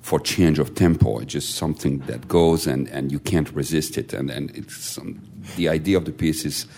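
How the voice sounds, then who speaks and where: Man, very low voice, enthusiastic, hesitant phrasing, panel talk. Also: moderate pace, gravelly, warm tone. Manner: enthusiastic, searching for words. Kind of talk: panel discussion.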